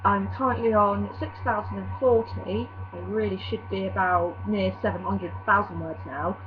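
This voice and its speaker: A woman's voice: mid-range voice, steady tone, normal speed. A young woman from vlogging casually, rambling and apologetic, her nose slightly stuffy from a cold. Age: young adult. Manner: rambling and self-apologetic, with many fillers. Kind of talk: casual chatty vlog. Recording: dull lo-fi recording with faint hum.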